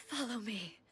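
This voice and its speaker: A woman playing a stern, composed warrior goddess in an anime video game, speaking formally, gravely and with measured resolve. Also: fairly high pitch, background noise. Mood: resolute, grave.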